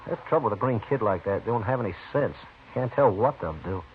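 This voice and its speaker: Through a tinny, hissy radio recording comes a mid-pitched male voice that swings widely. It is a shifty American frontier gambler, evasive and defensive, in 1950s radio drama style. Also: animated, quick pace. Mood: guarded. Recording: noisy, narrow-band 1950s radio recording.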